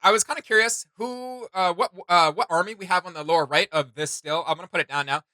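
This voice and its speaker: A man's voice: sits high, fast talker, bright, forceful tone, no echo. A man hosting a casual fan reaction chat, talking in a rambling, enthusiastic way with playful sound words. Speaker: reaction video host.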